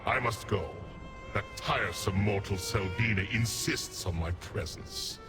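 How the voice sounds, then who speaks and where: Low-voiced, haughty villain played by a man. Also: unhurried, lightly acted. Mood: impatient, disdainful. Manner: disdainful, commanding. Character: haughty, impatient male villain interrogator. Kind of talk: video game villain acting. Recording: loud music behind.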